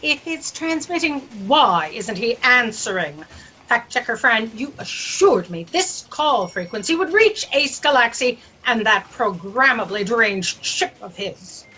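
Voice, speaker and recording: Imperious bright-voiced boss, played by a woman. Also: fairly high pitch, expressive, unhurried, slightly rough, exaggerated voice. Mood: imperious, exasperated. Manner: pompous, scolding. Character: imperious, exasperated female cosmic editor-in-chief. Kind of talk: sci-fi satire audio drama. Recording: slightly noisy video-call audio.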